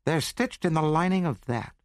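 A man voicing a frightened, frantic woman in a mystery audiobook, quick and demanding. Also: fairly high pitch, expressive, no echo, clean studio sound, pitched above the performer's own voice, natural voice. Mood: tense. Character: frightened, shrieking slender red-haired woman.

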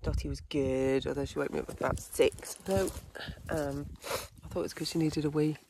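Hiking vlogger, woman, thirties, casual and rambling, phone mic outdoors, low-ish voice, light wind noise. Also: fairly even intonation, normal speed, warm tone. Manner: chatty, frequent ums and little laughs. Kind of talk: casual chat to camera. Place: windy fell top and tent outdoors. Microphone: phone camera at arm's length.

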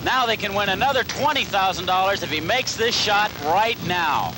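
High-voiced American bowling analyst, man. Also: expressive, normal speed, background noise. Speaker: color commentator. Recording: old TV broadcast tape.

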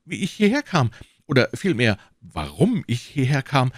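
A man's voice: mid-range voice, wildly swooping pitch, normal speed, breathy edge, no echo, studio quality, voice pushed up. A man voicing a timid, frightened middle-aged shopkeeper in an audiobook, trembling and pleading as he is questioned. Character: timid, frightened fifty-one-year-old shopkeeper under interrogation. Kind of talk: acted audiobook dialogue.

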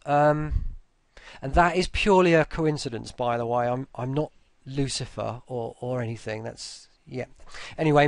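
A man talking through a casual screen-recorded software tutorial, informally, with muttered asides to himself. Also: mid-range voice, vocal fry, no echo.

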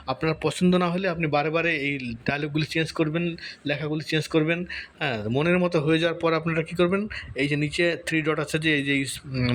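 A man's voice: a little high, a bit bright, a little hiss or hum. A man hosting a casual step-by-step tutorial, conversational and repetitive, often checking in with short tag questions. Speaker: tutorial host.

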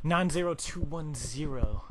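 A young man making an improvised prank call, hesitant and stammering, playing stubbornly slow on the uptake. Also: a little high. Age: young adult. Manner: hesitant, stammering, feigning dim-witted stubbornness. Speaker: prank caller. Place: indoors, small makeshift studio on a couch. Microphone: handheld microphone.

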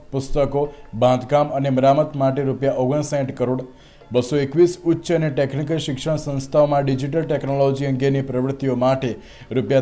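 Mellow male news narrator over music. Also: mid-range voice. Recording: music bed under voice-over.

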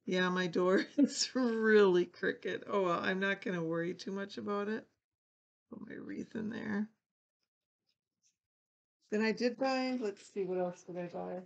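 An older woman with a gravelly edge to her mid-pitched voice talks her way through a craft project. She chats casually and pokes fun at her own mistakes. She is recorded by a camera sitting on her table indoors. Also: measured pace, pauses often, dull audio. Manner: chatty, self-deprecating, thinking aloud while working. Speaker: craft channel host. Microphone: fixed camera over a craft table.

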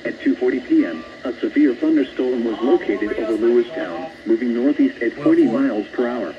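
A synthetic male announcer reading scripted emergency alerts in a measured way over a weather radio speaker. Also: mid-range voice, expressive, echoey room, recorded from a distance, muffled recording, crowd noise. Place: played from radios in a room, picked up by camera. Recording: noisy radio speaker picked up from across room.

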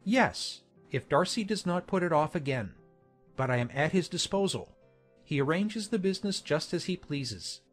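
A well-born colonel in an audiobook drama. His voice is slightly high for a man, courteous and measured, with formal phrasing. Faint music runs behind him. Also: expressive. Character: courteous gentleman colonel, an earl's younger son.

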